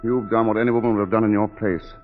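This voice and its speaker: Casting: man as devoted, protective colonial husband, lowish earnest voice, thin vintage radio sound with music behind. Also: flat delivery, fast talker, natural voice. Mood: tender, reassuring. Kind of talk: 1940s radio drama acting. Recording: tinny, narrow 1940s radio broadcast.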